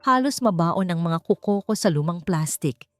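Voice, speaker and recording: A woman narrating a dramatic first-person audiobook story in an emotive, deliberate manner. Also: lowish voice, expressive, no room sound, faint music behind.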